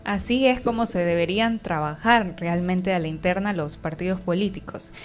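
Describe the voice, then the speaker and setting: A woman interviewing a guest on a radio news program, asking questions in a clear, measured way. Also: fairly low pitch.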